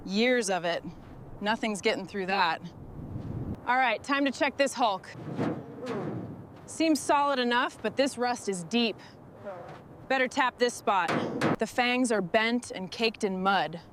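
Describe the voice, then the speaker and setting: A woman's voice: on the high side, measured pace, long pauses, natural voice. A woman playing a determined heavy truck restorer, giving terse, scripted work chatter to herself and her crew. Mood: focused. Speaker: mechanic. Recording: noisy, with vehicle and machinery sounds.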